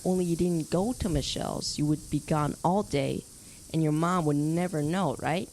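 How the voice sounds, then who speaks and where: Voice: mid-range voice, normal speed, a little mellow, natural voice, poor audio. A young performer playing a bold, scheming teenage boy who leads a gang of kids in a radio drama, persuasive and informal. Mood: eager, persuasive. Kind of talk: youth radio drama acting. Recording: noticeable background noise.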